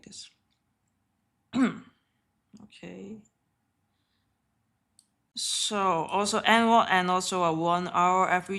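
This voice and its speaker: Bright-voiced woman chatting through live trades. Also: lowish voice, gravelly. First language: Cantonese. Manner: chatty and candid, swears offhandedly, often laughs. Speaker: live-streaming day trader. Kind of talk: casual live trading commentary.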